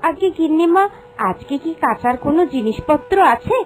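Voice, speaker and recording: A woman's voice: high-pitched, animated, moderate pace, soft background music. A woman voicing a meek young village washerwoman in a cartoon, speaking respectfully and earnestly. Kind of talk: Bengali cartoon voice acting.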